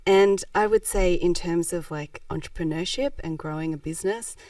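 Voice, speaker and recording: A woman's voice: lowish voice, moderate pace, darkish tone, no echo. A Japanese-born woman, reflecting thoughtfully as a podcast guest and laughing often. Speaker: guest, social enterprise founder. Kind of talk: conversational podcast interview. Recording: dry, slightly distant mic.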